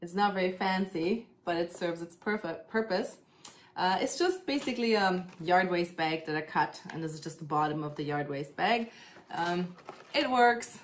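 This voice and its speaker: A woman's voice: lowish voice, normal speed, a little hiss or hum. A woman from Germany with a German accent, chatting casually about her plants as she works on them at home, with lots of ums and "kinda". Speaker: plant care vlogger. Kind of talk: casual chat while doing plant chores.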